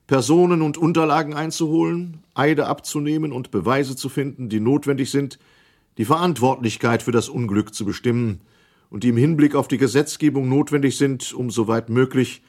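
A man plays a senator presiding over a historic inquiry in a radio play. He speaks at a middle pitch, solemn and measured. His delivery is official, as if putting every word on record. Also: a little mellow, natural voice. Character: formal American senator chairing a 1912 inquiry. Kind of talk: documentary radio play acting.

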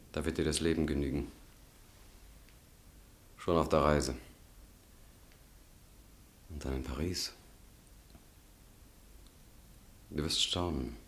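An actor playing a controlled, secretly calculating young student in a radio drama, speaking in a measured, softly probing way. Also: male voice, very deep voice. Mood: calm, solicitous. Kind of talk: German radio drama acting.

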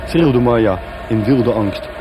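A man's voice: mid-range voice, takes it slowly, dull audio, noisy background. A man narrating a radio play as scripted, dramatic storytelling. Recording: music bed behind. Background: music.

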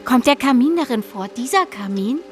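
A woman playing a dead little sister in a radio drama, eerily calm, probing and accusing. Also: on the high side, normal speed, slight background noise, lightly acted. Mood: eerie curiosity. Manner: probing, taunting, insistent.

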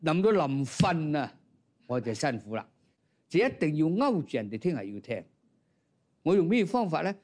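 A man's voice: fairly high pitch, sing-song, normal speed, long pauses, darkish tone. A man, a storyteller explaining his craft through anecdotes and rhetorical questions.

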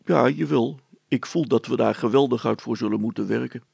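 A reluctant village helper from a storybook, played by a male reader, plain-spoken and drily cheeky. He grumbles in an everyday mid-pitched voice with heavy gravel in it. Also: a little fast, darkish tone, dry recording. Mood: dryly reluctant. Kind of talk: storybook character voice.

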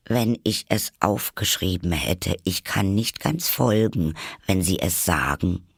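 A man's voice: deep voice, lively intonation, slow, gravelly, slightly rough, no echo, studio quality, full character voice. A man voicing a polite, careful young girl who speaks up eagerly in a raised voice.

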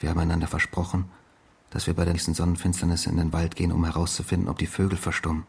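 Enigmatic stranger posing as a husband, low gravelly voice, calm, controlled, provocative, radio drama. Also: male voice, very fast, exaggerated voice. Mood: quiet, intimate.

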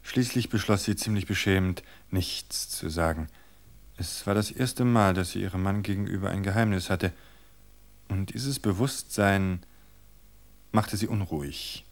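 Male narrator, lowish voice, calm, measured, scripted book reading.

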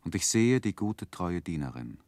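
An actor playing a grown man who soberly recalls his childhood, narrating a 1960s radio play in a measured, reflective way. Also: sits low, big pitch swings, darkish tone, no room sound. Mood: wistful, reflective.

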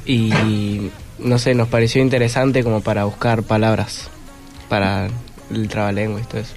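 A man's voice: fairly low pitch, little pitch movement, normal speed. Tentative teenage boy, faint music behind. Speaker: school student guest. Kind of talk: hesitant interview answers.

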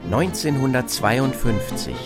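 A man presenting scripted radio narration in a measured, deliberate way. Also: mid-range voice, lively intonation, over loud music. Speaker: presenter and performer.

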